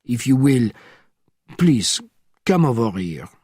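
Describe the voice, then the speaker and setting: A man voicing a huge, commanding crime boss with a formal, deliberate and quietly menacing manner in an audiobook. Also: medium pitch, big pitch swings, husky, no echo, studio quality, heavily acted. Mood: controlled.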